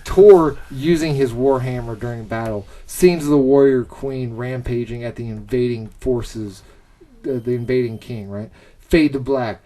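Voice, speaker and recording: A man co-hosting a podcast in casual chat, often halting as he gropes for words and swearing now and then. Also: mid-range voice, dark tone. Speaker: podcast co-host. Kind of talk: casual chat, pitching film ideas.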